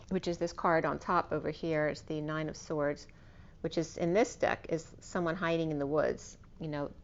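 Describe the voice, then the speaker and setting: A woman with a hoarse, fading voice giving an unscripted spiritual tarot reading, meandering and correcting herself as she lists cards and numbers. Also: low voice, highs cut off. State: losing her voice. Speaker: tarot reader.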